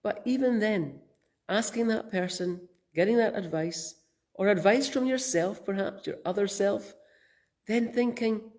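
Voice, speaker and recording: Woman, Scottish accent, minister, croaky voice from a cold, mellow tone, gentle reflective talk. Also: medium pitch, measured pace. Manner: gentle, thoughtful, conversational. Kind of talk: reflective sermon.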